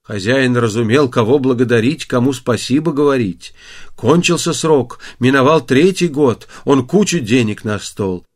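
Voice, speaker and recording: A man narrating a fairy tale for an audiobook in an expressive, theatrical, folksy storytelling manner. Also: medium pitch, normal speed.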